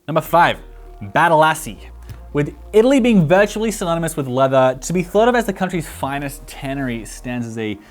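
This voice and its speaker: Chatty Australian man, fast and casual. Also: fairly high pitch, lively intonation, soft background music. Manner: chatty, fast, lots of "like" fillers. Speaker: boot reviewer host. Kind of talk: casual explainer. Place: bright indoor studio at a table. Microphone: lapel mic.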